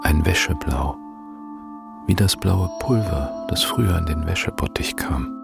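Male narrator, extremely low voice, creaky, atmospheric radio-play narration, clear music bed. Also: a little slow. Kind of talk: slow, atmospheric scripted radio-drama narration.

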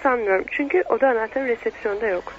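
A woman's voice: fairly high pitch, fast, background noise, natural voice. An actress in a radio drama playing a polite, efficient female hotel receptionist. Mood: courteous. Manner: courteous, efficient. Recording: dull lo-fi recording over prominent music.

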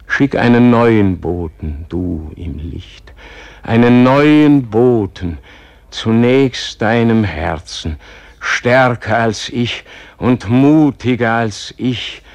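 An actor playing a dying holy man who prays humbly and fervently in a husky, declamatory radio drama style. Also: mid-range voice, animated, slow pace, dry recording, muffled recording. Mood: fervent, imploring. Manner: declamatory, reverent, swelling from whispers to fervent pleas. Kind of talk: solemn classic radio drama monologue.

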